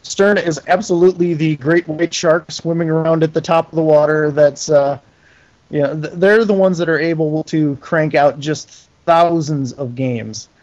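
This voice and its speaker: Man, middle-aged, somewhat high voice, casual interview talk, self-deprecating, enthusiastic, slightly muffled lo-fi audio. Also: fairly even intonation, moderate pace, a little mellow. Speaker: interview guest, pinball company owner.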